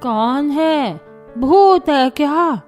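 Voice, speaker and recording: A woman's voice: high voice, expressive, extremely slow, heavily acted. A woman playing a grumbling, teasing elderly in-law in a Hindi cartoon family story. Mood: alarmed. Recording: music behind the voice.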